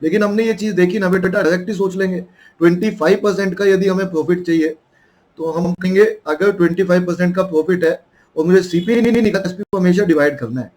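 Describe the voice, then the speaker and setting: A man's voice: sits high, quick pace, darkish tone. A young man with an Indian accent and a sore throat, teaching a math lesson in a coaxing way and calling his students children.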